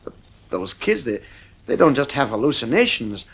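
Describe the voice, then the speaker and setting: A man's voice: mid-range voice, sing-song, normal speed, a bit bright, breathy edge, full character voice. An actor playing a stern, worried father in a 1950s radio drama, firm and weary in manner.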